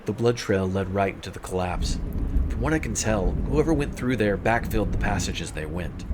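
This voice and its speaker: A man with a fairly low voice narrates a scripted story. He is the storyteller of an audio drama, with faint ambient noise behind him. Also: lively intonation, normal speed.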